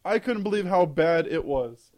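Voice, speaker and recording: A man's voice: a little high, lively intonation, moderate pace, darkish tone. A man in casual conversation, bluntly trashing a movie with emphatic, scornful complaints. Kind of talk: casual podcast chat.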